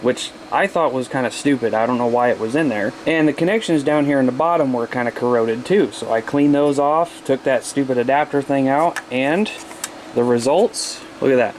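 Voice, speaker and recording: A man's voice: medium pitch, normal speed, a little mellow, slight background noise, rough recording. A man chatting in a folksy, informal way as he casually shows off his hobby gear. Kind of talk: casual show-and-tell vlog.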